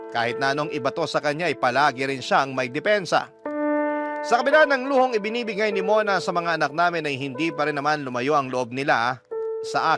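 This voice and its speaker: A man plays an aggrieved fisherman father telling his own story in a radio drama. His voice is slightly high, earnest and confiding. Music runs clearly behind him. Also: lightly acted. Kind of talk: first-person radio drama letter narration.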